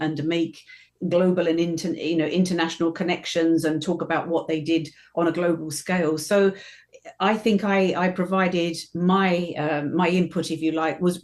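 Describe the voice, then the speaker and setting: A British woman in her fifties, a professional voiceover artist, answering interview questions in a lively, chatty way with frequent restarts. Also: lowish voice. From Britain.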